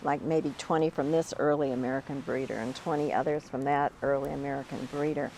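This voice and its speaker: A woman's voice: rumbling low, fairly even intonation, a little fast, darkish tone, a little hiss or hum, low-quality recording. A woman speaking off the cuff in an interview outdoors in a garden. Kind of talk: unscripted interview.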